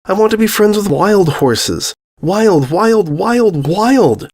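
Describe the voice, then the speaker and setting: A man's voice: sits high, expressive, takes it slowly, a little mellow, pitched above the performer's own voice, exaggerated voice. A man voicing a wistful, stubborn young girl in a storybook, pleading with emphatic repetition. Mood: insistent. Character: young girl Mia, wistful, stubborn, longing for wild horses.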